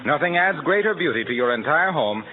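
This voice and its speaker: A man's voice: on the high side, expressive, a little fast. A man working as a 1940s radio announcer, reading scripted introductions and sponsor copy in a polished, salesmanlike way. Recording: narrow-band vintage radio, faint music.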